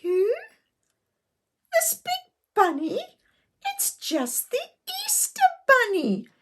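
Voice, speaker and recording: A woman voicing an excitable, carefree little girl in a children's story, with a squealing, singsong manner. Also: very high voice, very slow, voice pushed up, heavily acted. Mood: cheerful, reassuring.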